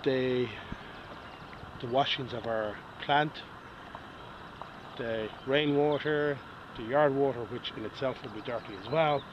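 A man's voice: mid-range voice, takes it slowly, pauses often. A middle-aged man, a company director explaining things on an outdoor tour in a hesitant, patient way. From Ireland. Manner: hesitant, explains with fillers and restarts. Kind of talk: casual explanatory tour talk. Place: outdoors by a reed-bed pond. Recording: dull outdoor camera audio with noise.

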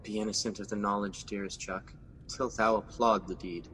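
A man's voice: fairly low pitch, steady tone, moderate pace, a bit bright, in a large hall, slight background noise, natural voice. An actor playing Macbeth, a guilt-ridden scheming king, in a classic radio drama. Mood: brooding. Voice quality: brooding, intense.

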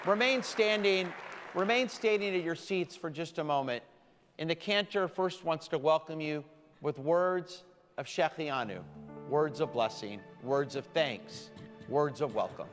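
Guy rabbi preaching slow and deliberate, kinda high voice, big echoey sanctuary. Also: faint music behind, a faint crowd. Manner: warm, deliberate preaching with slowed emphasis. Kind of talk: sermon to a congregation. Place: large synagogue sanctuary. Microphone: stand mic from a far camera. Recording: long hall reverb.

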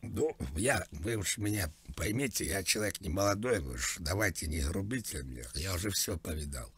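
A man's voice: deep voice, expressive, normal speed, fairly bright tone, a little hiss or hum. A man making a prank call, posing as an older man and talking in a plaintive, rambling, mock-naive way. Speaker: prank caller.

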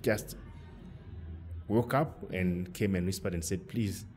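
A man hosting a podcast, talking in a measured, hesitant way with pauses. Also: low-pitched, darkish tone, a little hiss or hum. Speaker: podcast host. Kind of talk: conversational interview.

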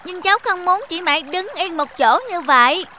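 A woman voicing a restless, cheeky young stream in a cartoon fable, lively and childlike. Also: very high-pitched, moderate pace, slight background noise. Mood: eager.

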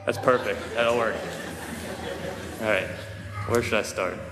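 Teen guy doing a jokey grad speech, dry deadpan delivery, podium mic in a big echoey hall. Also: on the low side, unhurried. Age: teens. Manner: dry, joking, lightly self-mocking delivery. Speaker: valedictorian giving graduation speech. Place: large school auditorium stage. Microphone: podium microphone, filmed from far. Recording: reverberant hall with soft music.

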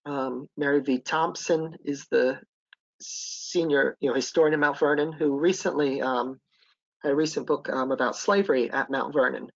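A man's voice: on the high side, moderate pace, vocal fry, lo-fi sound. A man, a historic site interpreter, presenting and answering questions on a webinar, hesitant, with many ums and uhs.

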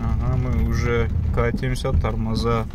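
A man's voice: mid-range voice, completely flat delivery, unhurried. A man vlogging from the passenger seat of an off-road car on a mountain track, joking and exclaiming, with a voice he calls frightened. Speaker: vlogger filming a drive. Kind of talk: casual running commentary. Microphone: phone camera held in the passenger seat. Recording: loud engine noise in a car.

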